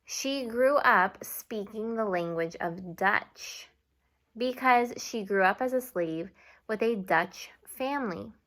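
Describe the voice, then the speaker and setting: A young woman teacher giving a video lesson to children, speaking slowly and clearly and switching between English and Spanish. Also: medium pitch, fairly bright tone. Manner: slow and clear, mixing English and Spanish, asks students to repeat. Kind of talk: classroom video lesson for kids.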